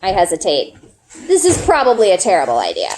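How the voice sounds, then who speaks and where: A woman's voice: fairly low pitch, huge pitch swings, brisk, darkish tone, slight background noise. A woman reading a story aloud expressively, as a teacher would, acting out the dialogue.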